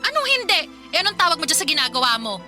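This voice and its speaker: A woman's voice: very high-pitched, sing-song, racing, pushed and bright, faint music behind, full character voice. An actress playing an irritable, work-obsessed young Filipina career woman in a radio drama, snappy, sarcastic and scolding. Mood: irritated, sarcastic.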